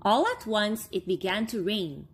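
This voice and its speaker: A woman in her thirties reading a children's storybook aloud, expressively and with deliberate phrasing for young listeners. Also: medium pitch, sing-song, slightly rough. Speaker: story time reader for children.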